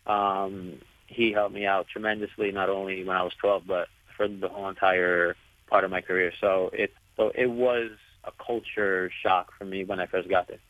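A young man, a soccer player whose first language is Italian, answering interview questions softly while searching for his words. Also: sits low, flat delivery, moderate pace. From United States. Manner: soft-spoken, searching for words. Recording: tinny dry phone line.

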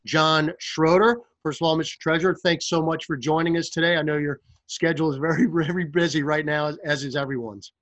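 A man's voice: on the high side, steady tone, fairly quick, hardly any pauses, a bit bright. A man hosting a podcast, interviewing guests in a polished sports-broadcaster style.

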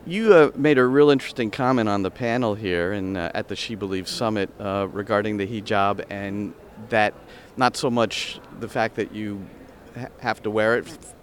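A man's voice: fairly low pitch, slight background noise. A man interviewing a coach, asking long questions with hesitant restarts. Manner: halting, searching questions with restarts.

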